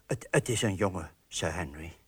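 A man's voice: low-pitched, animated, normal speed, husky, exaggerated voice. A man playing a nervous, deferential servant who speaks formally and hesitantly in a radio drama. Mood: nervous, apologetic. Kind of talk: Dutch-language radio drama acting.

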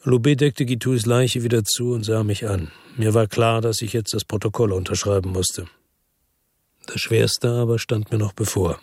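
A man's voice: fairly low pitch, fairly even intonation, a little mellow, husky, no room sound, studio quality. An actor playing a brooding investigator who narrates his story in a radio drama. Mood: somber. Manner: slow, somber narration. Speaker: first-person narrator.

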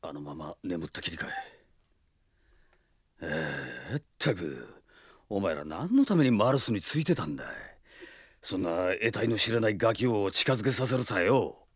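A man playing a gruff, bossy veteran warrior in a radio drama, with blunt, rough-spoken commands and a husky voice. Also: deep voice, expressive, moderate pace, no echo, clean studio sound. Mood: scolding.

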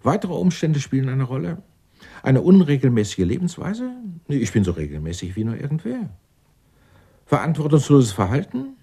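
A man's voice: mid-range voice, sing-song, moderate pace, mellow, breathy edge. An actor playing an eccentric, indignant, self-righteous clerk in his sixties in a radio drama, speaking in a florid, pompous, theatrical way. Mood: pompous, defensive. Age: 60s. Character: eccentric, indignant 64-year-old Dutch tax-law clerk, self-righteous. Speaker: murder suspect being questioned.

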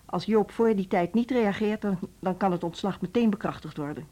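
A woman's voice: medium pitch, moderate pace, dark tone, close to an everyday voice. An actress playing a strict female school director in a radio drama, who speaks in a cool, curt, businesslike way.

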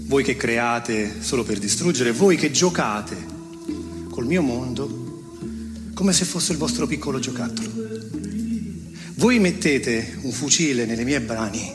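A man's voice: mid-range voice, lively intonation, a little slow, pauses often, natural voice. Man as a defiant young accuser, reverberant, over music. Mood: defiant, accusing. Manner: declamatory, insistent repetition. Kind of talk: theatrical spoken-word recitation. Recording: long hall reverb, music clearly underneath.

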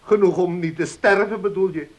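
Actor playing a high-pitched, solemn old uncle. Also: male voice, moderate pace, darkish tone, close to an everyday voice. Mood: indignant, commanding. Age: older. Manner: solemn, commanding, emphatic. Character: stern, pious old uncle, wounded and possessive. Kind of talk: Dutch radio play acting.

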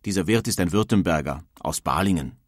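A man's voice: low-pitched, lively intonation, fairly quick, dry recording, clean studio sound. An actor playing a nineteenth-century male emigrant, reading his letter home in a wondering, narrative way. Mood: recounting. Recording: music faintly underneath.

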